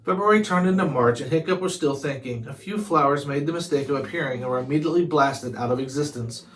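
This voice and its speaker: A man's voice: on the high side, fast, a bit bright, low-quality recording. A man narrating a children's chapter book aloud, sometimes stumbling over and repeating words. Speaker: storybook reader.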